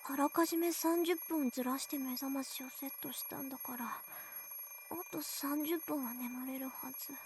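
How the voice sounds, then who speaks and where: A woman's voice: sits high, fairly even intonation, quick pace, a little mellow, a little hiss or hum. A woman voicing a composed teenage schoolgirl sorceress in an anime visual novel. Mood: drowsy, reasoning. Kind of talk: Japanese visual novel voice acting.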